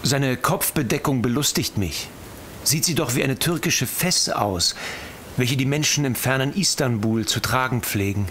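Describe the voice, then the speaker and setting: Gentleman explorer, played by a man, reading his journal: formal, literary, medium pitch, faint ambience; radio play. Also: moderate pace, a little hiss or hum, lightly acted. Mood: quietly amused. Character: expedition diarist, composed, observant, quietly amused gentleman. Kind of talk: diary reading in audio drama.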